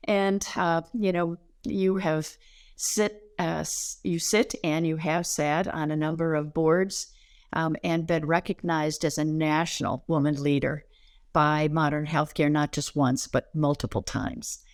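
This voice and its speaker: An older woman with an American accent, hosting an interview and formally introducing a guest in a measured, slightly halting way. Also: low voice, fairly even intonation, clear, resonant tone, no echo, studio quality. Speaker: interview host.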